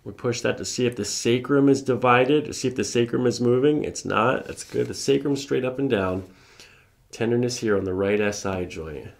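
Gravelly-voiced male chiropractor. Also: mid-range voice, normal speed. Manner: explains each step before doing it. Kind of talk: calm clinical exam talk to a patient. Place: small carpeted clinic office.

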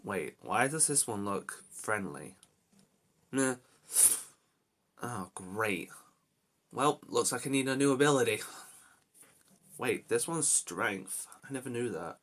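A man's voice: medium pitch, expressive, takes it slowly, long pauses, fairly bright tone. A man voicing an anxious, protective young adventurer in amateur character lines, talking to himself with dramatic exclamations. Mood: relieved, puzzled. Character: anxious, reluctant young adult male adventurer hero, protective.

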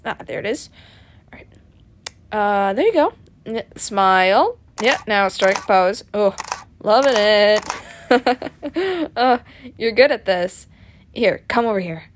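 A woman playing a playful, excitable young male adventurer in an audio roleplay, chatty and expressive, affectionate with a partner. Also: on the high side, slight background noise. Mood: excited. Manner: breezy, giggly, expressive, chatty.

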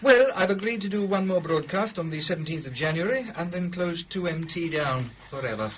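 An actor playing an earnest, proud engineering team leader of the 1920s, speaking in measured speeches in a radio play. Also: male voice, on the high side, animated, fairly bright tone, slight background noise. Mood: resigned.

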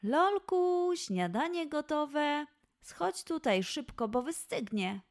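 A woman's voice: high voice, big pitch swings, slow, pitched above the performer's own voice, exaggerated voice. A woman voicing a busy, caring lioness mother with a motherly, chivvying manner in a children's audio story. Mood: calling cheerfully.

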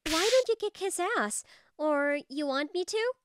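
A woman's voice: high-pitched, expressive, unhurried, a little hiss or hum. A woman playing a friendly, supportive young female office coworker in a manga video dub. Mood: friendly, encouraging. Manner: encouraging, friendly.

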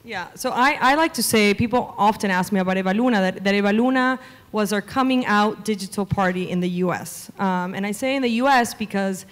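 Woman talking in a reverberant hall. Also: mid-range voice. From Venezuela. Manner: fluent, with frequent "um" fillers. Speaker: media executive guest. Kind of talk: onstage interview. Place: conference stage before a seated audience. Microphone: handheld mic.

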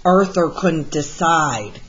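A woman reading a children's picture book aloud as a storyteller, with deliberate, expressive delivery. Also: sits low, lo-fi sound, slight background noise. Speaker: read-aloud storyteller, art teacher.

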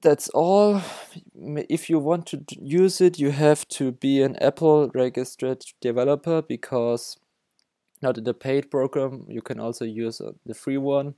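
A man's voice: mid-range voice, fairly even intonation, mellow, no echo, clean studio sound. A young man from Germany with a German accent, talking through a coding tutorial over his screen, often stopping to restart his sentences. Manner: halting, many restarts and self-corrections. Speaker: developer tutorial host.

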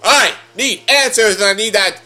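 A young man casually reacting to a show as host of a reaction video, excitable and full of exclamations. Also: sits high, moderate pace, faint music behind. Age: young adult. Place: living room, seated on a couch.

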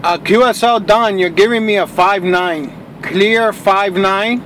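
A man's voice: high voice, a little slow, fairly bright tone. A plain-spoken man operating a ham radio from inside a car, chatting in operator style. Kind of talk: ham radio contact chat. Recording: faint noise inside a car.

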